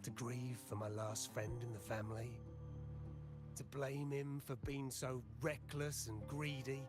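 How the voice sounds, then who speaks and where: An actor playing a world-weary, embittered old man in a video game, speaking in a deliberate, theatrical way. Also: mid-range voice, level tone, natural voice. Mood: regretful. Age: older. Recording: music behind the voice. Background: game music.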